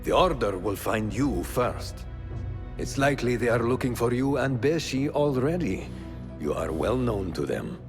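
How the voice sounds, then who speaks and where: An actor playing a wise, cautious male mentor in a game cutscene, giving measured, grave advice. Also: mid-range voice, animated. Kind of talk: video game cutscene acting. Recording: music playing behind.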